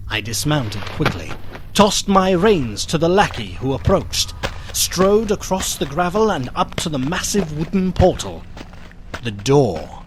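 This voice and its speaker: An actor playing a melancholy, fearful gentleman who narrates a gothic radio drama in a solemn, literary, theatrical manner. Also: on the high side, noisy background.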